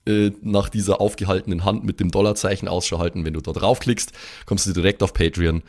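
A man's voice: low voice, flat delivery, fairly quick, a little mellow. A man chatting casually as a podcast host, with a matter-of-fact manner. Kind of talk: casual improvised chat.

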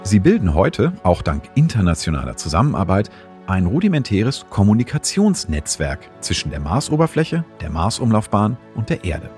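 Male science presenter, low register, lively intonation, scripted explainer narration. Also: normal speed, soft background music.

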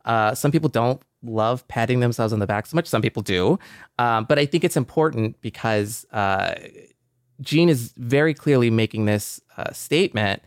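A man co-hosting a talk show, giving reflective commentary in a measured, earnest way. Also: medium pitch, clear, resonant tone, dry recording, clean studio sound.

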